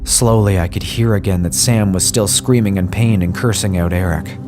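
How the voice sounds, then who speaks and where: A man reading a scripted horror story in a measured, somber first-person way. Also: low voice, music in the background. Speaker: story narrator.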